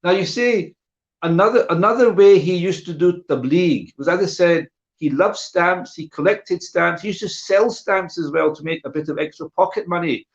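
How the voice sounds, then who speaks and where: Higher-voiced middle-aged man, muffled audio. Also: moderate pace. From born in Guyana. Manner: conversational, repeats phrases for emphasis, checks in with listeners. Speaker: guest speaker sharing memories of his father. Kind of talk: informal illustrated talk to an online audience. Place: live online video call. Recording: dull, muffled online stream audio.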